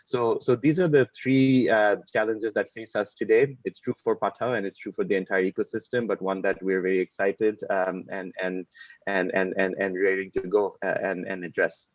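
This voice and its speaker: A man's voice: deep voice, little pitch movement, moderate pace, no room sound, highs cut off. A man speaking as a company executive on a webinar panel, stumbling and repeating words as he goes. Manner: repetitive, stumbling restarts.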